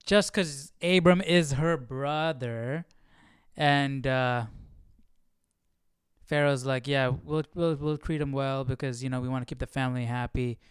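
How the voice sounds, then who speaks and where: A man's voice: mid-range voice, fairly even intonation, a little slow, clean tone, no echo, studio quality. A man hosting and reacting casually to a reading, with exclamatory, conversational asides. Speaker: host.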